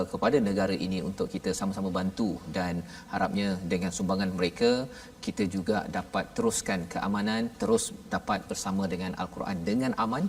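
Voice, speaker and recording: Deep gravelly male show host. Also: far from the mic. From Malaysia. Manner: brief agreeing interjections, formal announcements. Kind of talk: TV religious talk show hosting.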